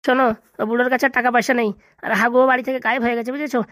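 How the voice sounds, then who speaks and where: A man's voice: extremely high pitch, little pitch movement, rapid-fire, bright, forceful tone, no echo, studio quality. A man voicing a vengeful, bossy corrupt local politician in a comedy cartoon, boastful and insistent. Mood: bossy, scheming. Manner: bossy, boastful, repeatedly checking he is understood.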